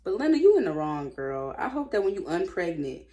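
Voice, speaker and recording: A woman's voice: fairly low pitch, big pitch swings, fairly quick, dark tone. An American woman casually reading stories aloud with informal, sassy and blunt running commentary.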